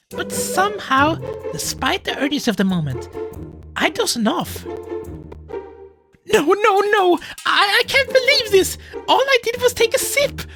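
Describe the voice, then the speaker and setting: A woman plays a gentle, anxious furry dad in a visual novel, high in pitch and very animated. The delivery is hesitant and emotional, easily flustered, with soft music underneath. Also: heavily acted. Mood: alarmed. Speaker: narrator. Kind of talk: dramatic first-person visual novel reading.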